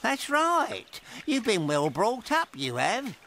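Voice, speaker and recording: Doleful, swooping marsh creature. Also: male voice, very high-pitched, wildly swooping pitch, slow pace, a bit bright, a little hiss or hum, exaggerated voice. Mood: gloomy, resigned. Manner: doleful, grumbling, rustic. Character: gloomy, lanky marsh creature, solemn pessimist. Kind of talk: audio drama acting.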